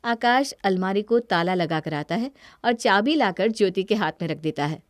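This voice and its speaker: A woman's voice: mid-range voice, lively intonation, moderate pace, no room sound, studio quality. A woman narrating a scripted family story.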